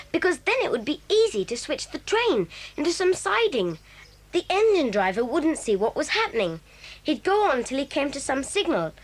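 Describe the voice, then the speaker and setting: Here is a clever schoolchild in a storybook drama, played by a woman. The voice is high and lively. It jumps between notes as it lays out each idea. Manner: eager, explaining. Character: clever schoolchild club member, eager. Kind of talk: audio drama for children.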